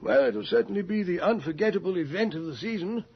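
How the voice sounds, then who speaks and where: Creaky, wry society colonel. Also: male voice, high-pitched, fast, heavily acted. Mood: wry, skeptical. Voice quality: creaky, husky. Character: dry, worldly old colonel at high society. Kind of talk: radio drama acting. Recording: muffled 1980s radio drama recording.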